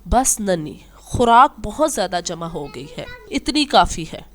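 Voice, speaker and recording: A woman's voice: mid-range voice, sing-song, unhurried. A woman voicing a kindly, wise old female ant in a children's storybook, patient and instructive. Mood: reassuring.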